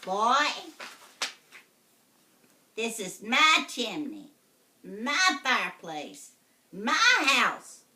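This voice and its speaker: A woman voicing a cranky, bossy old female witch in a storybook reading, shouting and screeching. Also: a little high, wildly swooping pitch, extremely slow, long pauses, recorded from a distance, pitched above the performer's own voice, full character voice.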